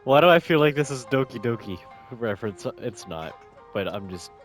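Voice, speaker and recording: Casting: casual male game streamer, lively pitch swings, indecisive mutterer, dry recording with game music behind. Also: normal speed. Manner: indecisive muttering, thinking aloud. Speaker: gamer playing on stream. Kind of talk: casual gameplay commentary.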